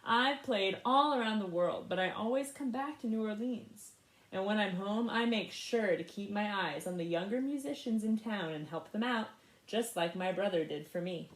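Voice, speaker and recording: A woman reading a picture book aloud in an expressive, animated way for young listeners. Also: mid-range voice, reverberant, low-quality recording. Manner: animated, pauses to ask young listeners questions. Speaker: storybook reader. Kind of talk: expressive children's read-aloud.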